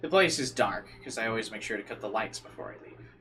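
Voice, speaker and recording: A man's voice: lowish voice, lively intonation, fast talker, bright, forceful tone, slight background noise. A man reading a visual novel aloud as a streamer, shifting between dramatic narration and slangy, playful asides. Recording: music playing behind the voice. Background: guitar game music.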